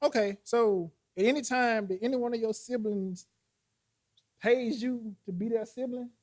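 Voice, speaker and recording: A man in his forties chatting animatedly with friends, arguing his point through examples and quick restarts. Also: very high voice, darkish tone. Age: 40s. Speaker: podcast host. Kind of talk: casual chat.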